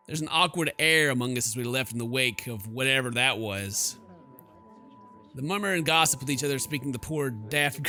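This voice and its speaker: A man streaming a game, giving animated, jokey commentary and reading the story narration aloud. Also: a little high. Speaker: gaming streamer.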